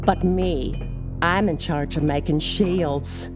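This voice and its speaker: A woman's voice: low-pitched, takes it slowly, over music. A woman playing a bold, flirty female dwarf shield-maker in a cartoon adventure game, coy and suggestive. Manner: coy, suggestive, sugary pet names.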